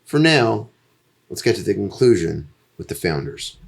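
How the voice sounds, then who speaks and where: A man reading a sponsor message for a podcast in a steady, scripted delivery. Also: lowish voice, moderate pace, vocal fry, husky. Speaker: podcast ad reader.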